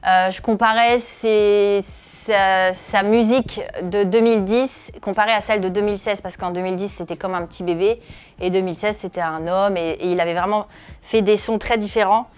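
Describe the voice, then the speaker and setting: Hesitant woman in her thirties, clear voice. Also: mid-range voice, fairly even intonation, moderate pace. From northeastern France. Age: 30s. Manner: hesitant, many filler sounds, self-described shy. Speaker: comedian and video creator. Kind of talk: interview answers.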